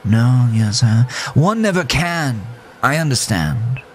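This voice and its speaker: A man's voice: medium pitch, lively intonation, slow, slight background noise, voice pushed up. A man voicing a genteel, eccentric horse in a tuxedo, speaking in prim, theatrical, old-fashioned formal phrases for a horror audiodrama. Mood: politely apologetic. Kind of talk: horror audiodrama character acting.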